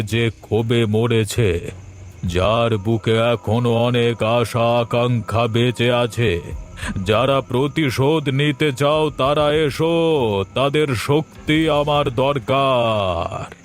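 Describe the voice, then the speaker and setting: A man's voice: mid-range voice, slow pace, soft background music, close to an everyday voice. A man voicing a scheming, commanding occultist in a horror cartoon, speaking in an imperious, incantatory way. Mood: commanding, summoning. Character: scheming, commanding male occultist summoning restless souls. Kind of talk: Bengali horror cartoon acting.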